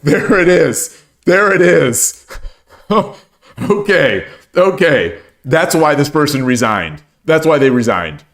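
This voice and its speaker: A man with a hoarse voice streaming shogi, talking casually and thinking aloud as he looks over his games, often repeating his phrases. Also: on the high side, very animated, takes it slowly, fairly bright tone. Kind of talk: casual game commentary.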